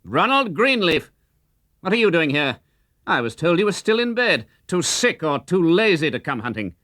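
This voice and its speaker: A man's voice: a little high, very animated, moderate pace, bright, forceful tone, dry recording, clean studio sound, voice pushed up. A man voicing a haughty, gullible sheriff in a children's story, imperious and full of exclamations. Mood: scolding. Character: haughty, gullible male sheriff, easily outraged.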